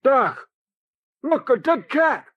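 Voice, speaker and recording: An actor playing a homespun, obliging, avuncular judge in a 1940s radio horror drama. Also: male voice, very high-pitched, big pitch swings, slow pace, fairly bright tone, dull audio, full character voice. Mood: startled. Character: folksy, obliging small-time male judge, kindly.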